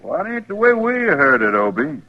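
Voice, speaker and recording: Taunting cowboy henchman, rural Western American accent, lively swooping delivery, menacing, old radio drama, faint hiss. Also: fairly high pitch, normal speed. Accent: rural American West. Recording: noisy, muffled old radio recording.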